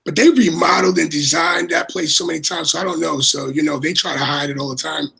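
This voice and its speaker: A man with a raspy voice chatting casually as a livestream co-host, rambling and repeating his words. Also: on the high side, fast talker, sharp tone. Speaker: co-host and moderator.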